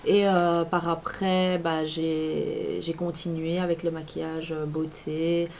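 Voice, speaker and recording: Woman, 20s, lowish voice, chatty vlogger, lots of hesitations, light background hiss. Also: little pitch movement, takes it slowly, darkish tone. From Belgium. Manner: chatty, many fillers and restarts. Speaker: beauty vlogger. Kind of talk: casual talk to camera. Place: apartment at home.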